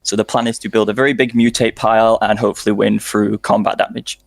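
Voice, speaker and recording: A man with a lower voice talks modestly and a bit nervously as a guest player. The recording is dry and close. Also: level tone, quick pace, creaky, no echo. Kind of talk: casual chat.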